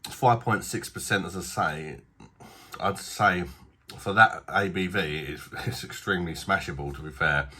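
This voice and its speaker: Low, husky British beer reviewer. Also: male voice, measured pace, bright, forceful tone. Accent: British English. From Britain. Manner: chatty, rambling, self-deprecating. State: slightly blocked nose. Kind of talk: casual video review.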